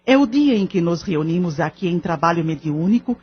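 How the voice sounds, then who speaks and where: Composed, kindly senior lady, Brazilian, reassuring and formal, slightly rough voice, radio-novela style. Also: fairly low pitch, lively intonation, brisk. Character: kindly, composed older lady of a spiritist centre. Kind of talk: audio drama acting in Portuguese. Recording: dull lo-fi audio drama recording.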